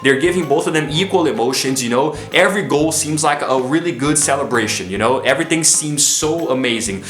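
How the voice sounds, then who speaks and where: Man, casual vlog to camera, low-energy, keeps saying you know, echoey room. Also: fairly quick, few pauses, fairly bright tone, soft background music. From Brazil. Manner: chatty, says "you know" a lot. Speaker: vlogger. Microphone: camera mic, filming self.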